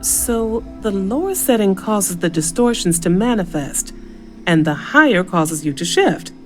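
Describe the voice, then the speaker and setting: An actress playing a focused, brisk scientist in a video game, precise and businesslike, with a careful but impatient manner. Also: female voice, mid-range voice, big pitch swings. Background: music.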